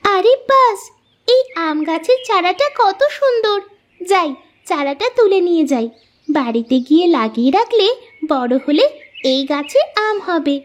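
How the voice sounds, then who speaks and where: A woman's voice: extremely high pitch, expressive, a little slow. A woman voicing a poor, earnest orphaned village girl in a moral-story cartoon. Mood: eager. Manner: exclaiming, talks to herself aloud. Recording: music behind the voice.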